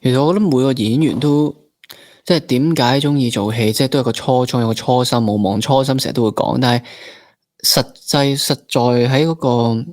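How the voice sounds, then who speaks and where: A man's voice: mid-range voice, fairly quick, darkish tone, no echo. A man in his late twenties with a Hong Kong Cantonese accent, hosting a conversational interview reflectively and slipping in English words. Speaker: interviewer, fellow actor.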